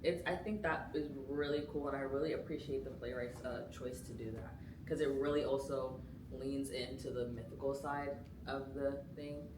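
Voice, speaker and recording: Student actress, young, chatty filler-heavy delivery; distant roomy recording with noise. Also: female voice, extremely low pitch, steady tone, normal speed. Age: young adult. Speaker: student actor. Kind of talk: casual chat about rehearsals. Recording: roomy, distant mic, noisy.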